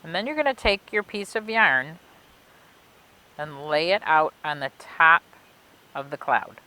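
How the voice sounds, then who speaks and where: A woman reading and explaining things for children in an expressive way, with simple, clear phrasing, like a children's librarian. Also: low voice, measured pace, rough recording. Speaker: children's librarian reading a story and demonstrating a craft. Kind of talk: read-aloud storytelling and craft instructions for children.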